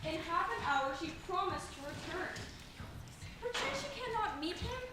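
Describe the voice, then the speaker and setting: A woman's voice: extremely high pitch, animated, moderate pace. A woman playing an impatient, lovestruck young girl in a Shakespeare play, pleading theatrically. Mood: impatient, yearning. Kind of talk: amateur Shakespeare play rehearsal. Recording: very echoey, noisy room, distant mic.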